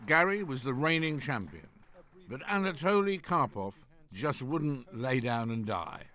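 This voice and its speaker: A man narrating a documentary script in a measured, dramatic way and hosting the interview. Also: medium pitch, sing-song, sharp tone, slight background noise. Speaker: host and narrator. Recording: narration over clear music.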